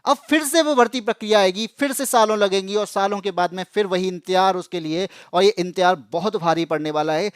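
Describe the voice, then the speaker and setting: High-pitched man explaining news to students. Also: fairly quick, few pauses, clear, resonant tone, dry recording, clean studio sound. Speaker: exam coaching channel presenter.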